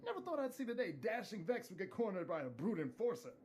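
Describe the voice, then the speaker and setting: A woman's voice: medium pitch, very animated, fast, a little mellow, a little hiss or hum, close to an everyday voice. An actress in a video game playing a boastful, theatrical woman with a mocking manner. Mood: cocky, taunting. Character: boastful female admirer taunting a brute enforcer.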